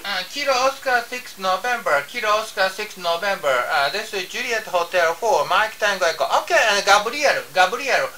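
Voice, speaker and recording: Male amateur radio operator, Japanese accent, halting and repetitive, recorded off-mic with faint hiss. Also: high-pitched, animated, far from the mic. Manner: halting, repeats phrases and spells out call signs. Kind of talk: ham radio contact. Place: home radio room indoors.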